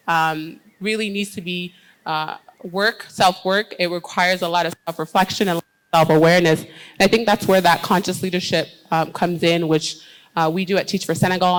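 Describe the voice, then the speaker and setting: An American woman speaking on a conference panel. Her voice sits a little low, and she talks in an earnest, steady way, often pausing on "um" as she builds her points. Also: low-quality recording. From United States. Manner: earnest, steady, with frequent "um" fillers. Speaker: panel speaker, education leader.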